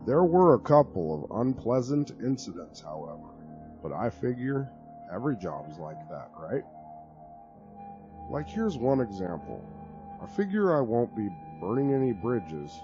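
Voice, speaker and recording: A man giving a dramatic reading of a horror story, telling it in an expressive, conversational way. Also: medium pitch, muffled recording. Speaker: narrator.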